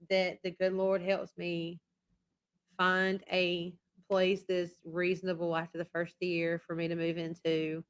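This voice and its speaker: A woman hosting a relaxed live stream, her voice low-set and fairly level. She rambles and circles back over the same points. She is losing her voice after being sick, and it sounds a little worn. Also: clear, resonant tone. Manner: rambling and repetitive. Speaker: YouTube channel host. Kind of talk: casual live-stream chat.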